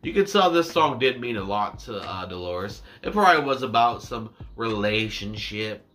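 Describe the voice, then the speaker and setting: Young male reaction vlogger, bright and forward voice, chatty and meandering, seated indoors. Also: on the low side, very animated. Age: 20s. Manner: rambling, self-deprecating, drawn-out words. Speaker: reaction YouTuber. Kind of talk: casual chat to camera. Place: small indoor room with dark backdrop.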